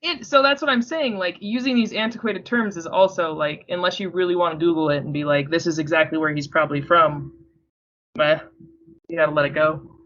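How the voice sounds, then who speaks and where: A young woman co-hosting a book podcast, explaining the story in a relaxed, teasing way. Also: lowish voice, fairly quick. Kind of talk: casual book discussion. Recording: dull lo-fi recording.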